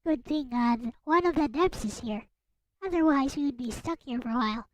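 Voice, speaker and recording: A woman's voice: lively intonation, a little fast. A woman with a girlish voice reading a visual novel aloud as a streamer, with playful casual commentary. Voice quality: high, girlish voice. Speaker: streamer, host. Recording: music behind the voice.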